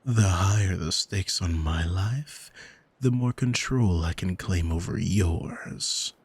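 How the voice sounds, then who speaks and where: A man playing an arrogant demon in an ASMR audio drama, smug and teasing, speaking deliberately and intimately to the listener with a husky voice, close on the microphone. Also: low voice, dry recording, full character voice. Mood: smug, controlling. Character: arrogant male demon who owns a soul, smug, taunting. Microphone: close studio microphone, dry.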